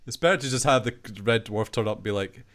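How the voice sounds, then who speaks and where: A British man in his forties, a podcast guest chatting casually, animated and ironic, with some swearing. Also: mid-range voice, quick pace. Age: 40s. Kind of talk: casual chat.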